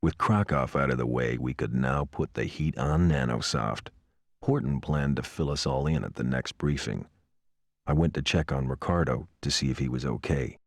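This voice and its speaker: An actor playing a cynical, world-weary police pilot narrating a noir-style video game story in a measured, wry way. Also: male voice, extremely low pitch, no room sound, studio quality. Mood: grudging, sombre.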